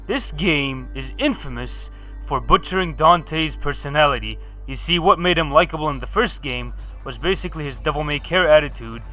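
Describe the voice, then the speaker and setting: Bright, higher-pitched man narrating a game review. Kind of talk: scripted video review narration.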